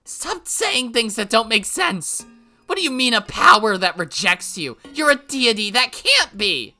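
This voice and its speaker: Lady playing a scared bossy girl yelling loud and high, bright voice, faint music. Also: big pitch swings, a little slow, full character voice. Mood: demanding. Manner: shouting, frantic, bossy. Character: frightened, demanding young girl trapped alone.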